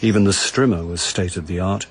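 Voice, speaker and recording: A man's voice: deep voice, fairly even intonation, fairly quick, husky. A man playing a world-weary amateur sleuth, narrating a radio drama in the first person with dry, understated wit. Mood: wry, reflective.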